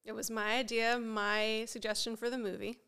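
A woman co-hosting a casual podcast chat with a head cold, sounding nasal and froggy, chatty and quick to laugh, with many fillers. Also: fairly high pitch, level tone, bright, forceful tone, clean tone. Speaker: podcast co-host.

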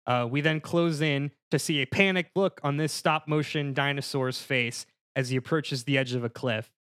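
A man on a casual panel show pitching a comedic movie scene by scene, in a halting, mock-formal narrating manner. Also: a bit bright, clean tone, dry recording, studio quality.

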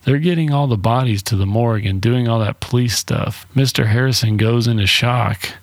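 A man's voice: medium pitch, normal speed, no room sound. A man hosting a solo podcast, giving a casual movie review in a blunt, informal way with some swearing. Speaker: podcast host.

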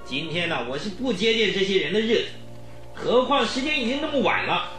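A man's voice: high voice, sing-song, brisk, a bit bright, faint music behind. An actor playing a haughty, stubborn eccentric in a radio drama, pompous and scornful, hemming as he talks. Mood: grumpy, dismissive. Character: haughty, stubborn, eccentric rich man, dismissive of callers. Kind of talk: Mandarin radio drama acting.

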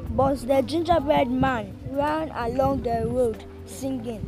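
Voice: high voice, slow pace. A school pupil, a child, reading a children's story aloud. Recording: loud music behind the voice.

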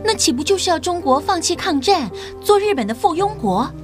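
An actress playing an earnest, polite young schoolteacher in an audio drama, modest and respectful in manner. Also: female voice, very high voice, very animated, brisk, faint music behind. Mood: earnest questioning.

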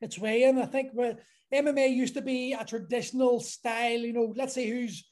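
A man hosting a relaxed podcast chat. His voice is mellow and warm, and his thoughts ramble, with many restarts and long strings of clauses. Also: very high-pitched, normal speed. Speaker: podcast host. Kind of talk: casual conversational interview.

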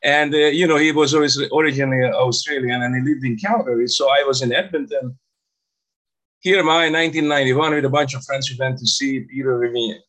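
An older man from Hungary with a Hungarian accent, telling stories casually as an interview guest in halting, non-native English phrasing. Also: mid-range voice, a bit bright, rough recording. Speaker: interview guest, stage hypnotist. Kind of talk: casual storytelling about own career.

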